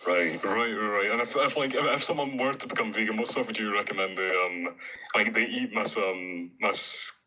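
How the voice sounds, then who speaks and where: A man's voice: fairly low pitch, moderate pace, pushed and bright, low-quality recording. A man with a Scottish accent calling into a live stream, rambling in a mock-innocent way with lots of fillers and slang. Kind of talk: trolling call-in chat.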